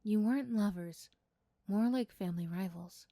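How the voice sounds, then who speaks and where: A woman narrates a scripted ASMR roleplay in a soft-spoken way. Her voice is mid-pitched and mellow, and the recording is dry. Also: moderate pace, no echo. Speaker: storyteller and voice performer.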